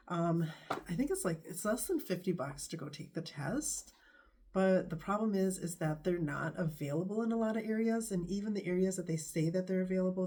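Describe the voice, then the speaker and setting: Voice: mid-range voice, mellow. A vlogger with a stuffy nose from a bad cold, talking casually to camera in a rambling, hesitant way. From United States. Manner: rambling, hesitant, many pauses.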